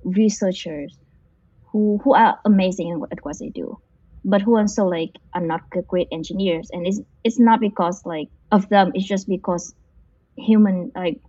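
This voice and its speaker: A woman's voice: medium pitch, moderate pace, warm tone, husky, no room sound. A woman from Vietnam, an interview guest working in machine learning, answering casually and hesitantly, with many fillers and restarts. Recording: dull muffled lo-fi audio.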